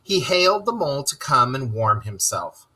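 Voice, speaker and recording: A storyteller giving an expressive, dramatic reading of a children's story. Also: mid-range voice, moderate pace, slightly rough. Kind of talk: scripted narration, children's story read-aloud.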